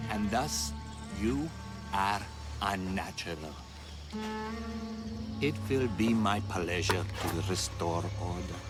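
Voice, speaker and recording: An actor playing a grim, bitter huntsman of a secret order, speaking deliberately and ominously in a video game drama. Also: on the low side. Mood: menacing. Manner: slow, deliberate, ominous delivery.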